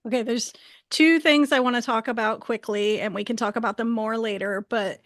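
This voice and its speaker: A woman chats as a podcast host in a fairly high voice. The sound is very dry, with no room around it. She explains casually, circling hesitantly through her thoughts. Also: steady tone, moderate pace, fairly bright tone, no room sound.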